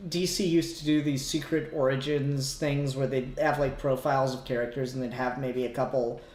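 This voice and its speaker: A man's voice: medium pitch, level tone, moderate pace, darkish tone, echoey room. A man talking casually and informally in a podcast discussion, swearing freely. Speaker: podcast host.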